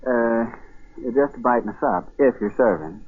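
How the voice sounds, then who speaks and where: Casting: male actor as naive, stubborn colonial farmer, folksy and halting, mid-range voice, muffled vintage radio play recording. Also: medium pitch, animated, slight background noise, lightly acted. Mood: hesitant, polite. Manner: hesitant, folksy, hemming and hawing. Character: plain-spoken, stubborn, naive colonial country farmer, a man. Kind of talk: 1940s radio drama acting. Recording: muffled narrow-band 1940s radio broadcast.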